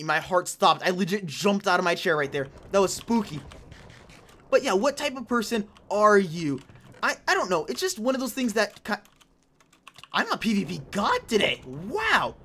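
A young woman in her late teens talks her way through a game with a chatty, excitable, rambling energy. Her voice sits at an ordinary middle pitch and carries a slight hoarseness. She sounds like a casual let's-play host chatting to her viewers. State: a little hoarse. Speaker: gaming channel host. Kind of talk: casual gameplay commentary.

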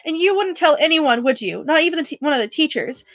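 A woman's voice: high voice, expressive, quick pace, no room sound, lo-fi sound. A woman voicing an anxious young schoolboy wizard in a storybook read-aloud, probing questions.

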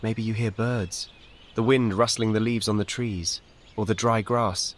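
A man with a fairly low voice leads a guided meditation in a calm, measured way. His short instructions are gentle and soothing. Faint birdsong and outdoor nature sounds sit behind him. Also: a little hiss or hum. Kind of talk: guided meditation, scripted narration.

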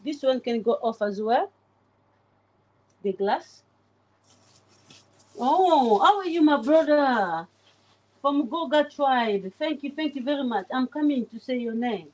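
A woman's voice: sits high, animated, takes it slowly, long pauses, a little mellow, low-quality recording. A woman in her forties chatting casually on a livestream while she cleans her oven, greeting viewers by name. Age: 40s. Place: home kitchen, indoors. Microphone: phone camera pointed at the oven.

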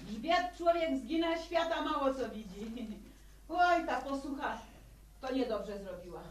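Middle-aged farmwife, high voice, plain-spoken, roomy distant sound, old radio drama. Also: female voice, expressive, unhurried, a little hiss or hum. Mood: weary. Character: hardworking, plain-spoken village farm widow and mother. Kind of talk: 1960 Polish radio serial acting. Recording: echoey, distant 1960 radio studio.

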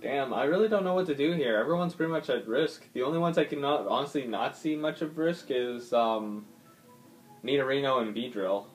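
A man's voice: mid-range voice, brisk. A man giving casual, swearing gameplay commentary, thinking aloud with lots of ums as he plays. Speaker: gaming YouTuber. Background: faint game music.

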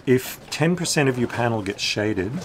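A man's voice: medium pitch, animated, slight background noise. A man in his fifties explaining, step by step, how he installed his catamaran's solar panels, speaking outdoors on deck. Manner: practical, step-by-step, with filler pauses. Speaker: boat owner and channel host.

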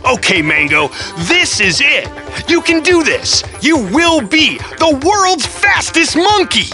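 A playful little cartoon boy, high and hugely animated. He is wide-eyed and jokey, taking his time over words with music underneath. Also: slow, heavily acted. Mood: encouraging. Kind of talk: children's cartoon acting.

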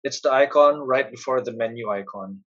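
A man presents a step-by-step software walkthrough in a plain, instructional way. His voice is a little husky and sits at a medium pitch. The recording is somewhat dull and muffled. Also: normal speed. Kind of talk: step-by-step screencast narration.

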